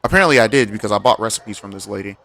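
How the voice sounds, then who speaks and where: A man's voice: fairly low pitch, lively intonation, rapid-fire, creaky, pushed and bright, faint music behind. A man streaming a game with casual, slangy, exclamatory commentary.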